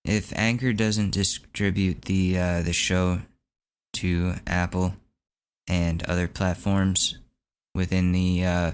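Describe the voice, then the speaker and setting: Young male podcast host, low-pitched, candid rambling monologue, slightly strained voice. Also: level tone, slow, slight background noise. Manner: rambling, candid and wry, with restarts and swearing. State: voice recovering from hoarseness. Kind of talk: casual solo podcast monologue.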